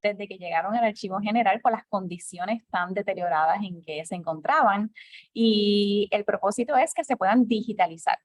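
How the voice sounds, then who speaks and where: A woman moderating a question session at an archive, speaking in a measured, formal way. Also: medium pitch, no echo. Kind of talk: moderating a lecture Q&A.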